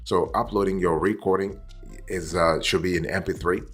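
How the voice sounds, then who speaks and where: A man giving an explanatory review as a host, deliberate in delivery, repeating phrases and checking in with the listener as he goes. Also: low voice, fairly even intonation, a bit bright, soft background music. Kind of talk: explanatory software review, semi-scripted.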